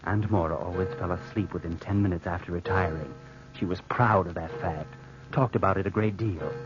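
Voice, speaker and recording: An actor playing a coldly scheming, murderous nephew in an old-time radio drama, speaking with careful deliberation. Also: male voice, deep voice, over music, lightly acted. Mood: sinister, careful. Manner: slow, deliberate, hushed narration and hesitant dialogue. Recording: muffled vintage radio recording with faint noise.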